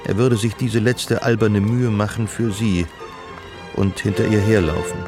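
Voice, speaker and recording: A literary radio-play narrator with a lowish, gravelly voice. He is reflective and observant, telling the story in a measured way over clear music. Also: fairly even intonation.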